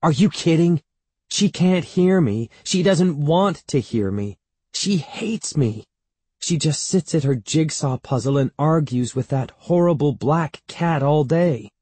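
A man's voice: fairly low pitch, slow, a little mellow, husky, dry recording. A man voicing an anxious, impatient young boy with a snappy, wailing manner in a children's storybook. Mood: wailing, desperate. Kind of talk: children's audiobook character voice.

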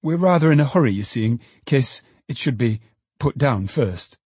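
A man plays a young smith, quick and nettled, answering back with a husky, dry voice. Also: medium pitch, expressive, a little mellow, dry recording. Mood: defiant.

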